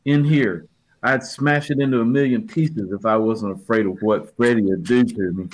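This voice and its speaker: Man playing a lowish, gruff, fed-up grumbler. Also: normal speed, natural voice, low-quality recording. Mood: sour, resentful. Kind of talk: 1950s radio play acting.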